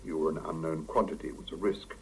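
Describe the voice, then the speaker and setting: An actor playing a stern middle-aged boss in a 1970s radio drama, clipped and authoritative. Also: male voice, a bass voice, steady tone, fast talker, slight background noise. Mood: measured, formal. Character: stern middle-aged male laboratory boss, brisk and exacting. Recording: muffled 1975 radio drama cassette recording.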